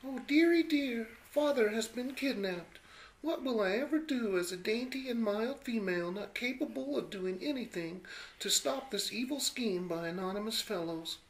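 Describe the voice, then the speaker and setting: Woman as radio-play damsel in distress, fairly high, lovestruck, sweetly mannered, melodramatic. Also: animated, normal speed, low-quality recording. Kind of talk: hobby comedy radio play acting.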